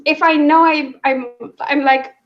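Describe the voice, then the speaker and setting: A woman with a fairly high voice talks casually as a book discussion host. She works out her opinions aloud in broken, halting phrases. The recording sounds slightly dull and lo-fi. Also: steady tone.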